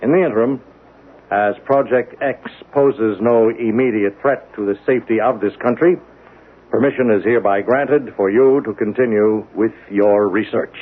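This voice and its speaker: An actor playing a formal army general dictating in an official, measured manner in a radio drama. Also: male voice, on the low side, natural voice. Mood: formal, businesslike. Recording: muffled old radio recording.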